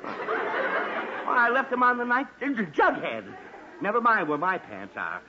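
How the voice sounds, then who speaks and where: A man's voice: very high-pitched, huge pitch swings, a little slow, full character voice. A man playing an exasperated middle-aged father in a 1940s radio comedy, sputtering and flustered. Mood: flustered indignation. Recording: muffled, noisy 1940s radio recording.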